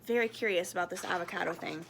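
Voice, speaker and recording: A woman speaking casually and reading a picture book aloud to children in an expressive, friendly way. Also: on the low side, fast, gravelly, a little hiss or hum. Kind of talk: casual chat and children's storybook read-aloud.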